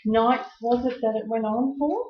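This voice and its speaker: A woman's voice: a little high, normal speed. Interviewer, woman, friendly prompting, roomy dull tape. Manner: chatty, encouraging, many "um" fillers. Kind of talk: oral history interview. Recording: echoey room, dull lo-fi tape.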